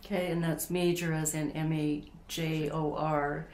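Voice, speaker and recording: A woman interviewing a guest, gently prompting and encouraging him to tell his story. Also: low voice, level tone, a little slow. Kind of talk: casual interview. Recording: echoey room, faint noise.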